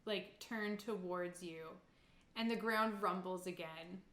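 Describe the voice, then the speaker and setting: A woman's voice: fairly low pitch, slow pace, a bit bright. A woman casually narrating a tabletop game as game master, improvising in a tentative, hedging way. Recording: echoey room, faint background noise.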